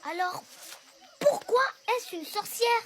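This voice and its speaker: An actor playing a tiny, bold and curious little boy in an animated film, with direct, persistent questions. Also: very high voice, slow, very noisy.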